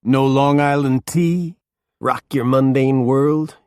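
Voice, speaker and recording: A man reads a stoned, tipsy slacker in an audiobook. The voice is raised high and loud, drifting lazily through a put-on stoner accent that keeps slipping. He's needling and unserious. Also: steady tone, takes it slowly, clean tone, no echo, clean studio sound, natural voice. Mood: stoned, taunting. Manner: slurring stoner drawl with shifting put-on tones. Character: stoned, drunk middle-aged male slacker.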